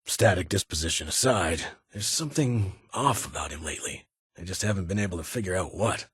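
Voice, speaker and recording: Stern, husky military security chief. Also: male voice, sits low, lively intonation, fairly quick, no room sound, full character voice. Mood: guarded, reflective. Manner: clipped, authoritative, brusque. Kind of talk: video game character dialogue.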